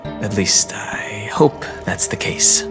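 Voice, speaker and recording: Calm, serious young adventurer. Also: male voice, fairly low pitch, takes it slowly. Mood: calm, thoughtful. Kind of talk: video game voice acting. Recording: game music behind the voice.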